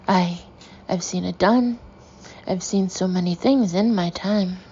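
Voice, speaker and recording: A woman voicing an excitable male sea captain who talks loudly, keeps correcting himself and tacks "aye" onto his sentences. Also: on the low side, a little slow, highs cut off, slight background noise. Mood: rambling.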